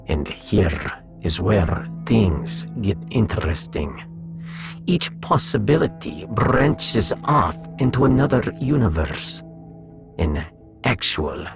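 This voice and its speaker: A man voicing a pompous genius cat in a science fiction audiodrama, deliberate and superior, savoring every pause. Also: fairly low pitch, gravelly, heavily acted. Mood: grandly explaining. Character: suave, superior male genius cat, menacingly clever.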